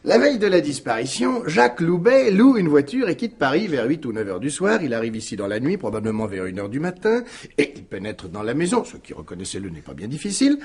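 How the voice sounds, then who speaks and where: A man's voice: a little high, very animated, darkish tone, husky, full character voice. An actor playing a wily, smug police commissioner in a radio mystery, needling his suspect in a theatrical, insinuating manner. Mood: theatrical, triumphant. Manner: theatrical, insinuating, drawn-out phrasing with rhetorical tags. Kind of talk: 1950s French radio drama acting.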